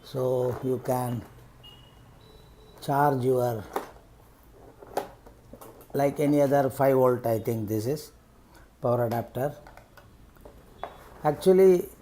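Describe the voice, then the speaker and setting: A middle-aged man with an Indian accent and a mid-range voice presents a product unboxing. He speaks off the cuff as a vlogger, setting out his points piece by piece in halting phrases. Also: mid-range voice, mellow, a little hiss or hum. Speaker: vlogger reviewing rider gear. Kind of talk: unscripted unboxing commentary.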